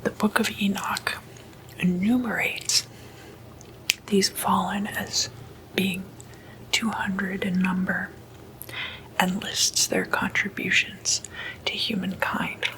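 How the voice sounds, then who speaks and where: A woman speaking in a hushed ASMR whisper, unhurried and in short halting phrases, like an informal late-night lecture. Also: mid-range voice, level tone, poor audio. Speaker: ASMR host.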